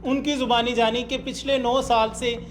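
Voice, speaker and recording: High-pitched Pakistani male interviewer over music. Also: little pitch movement, normal speed. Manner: polite, addresses guest respectfully. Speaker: podcast host, interviewer. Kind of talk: interview questions and presenting.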